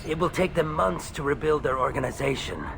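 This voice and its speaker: A man's voice: on the high side, fairly quick, gravelly, music in the background. A man voicing a shrewd, ingratiating alien merchant in a video game, with sly, oily politeness. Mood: sly, coaxing.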